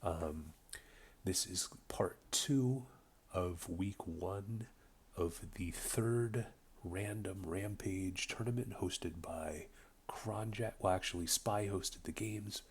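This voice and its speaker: A man with a voice slightly off from a cold, casually commentating a strategy game replay, relaxed and rambling with many ums. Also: deep voice, slow, husky. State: getting over a cold. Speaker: game caster. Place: home room with a buzzing light.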